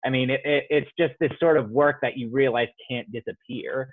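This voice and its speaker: A man's voice: medium pitch, fairly even intonation, normal speed, no echo. Young man, playwright guest, hesitant and reflective, thin phone-line sound, dry. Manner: thoughtful, many fillers and restarts. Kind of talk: reflective casual interview answers.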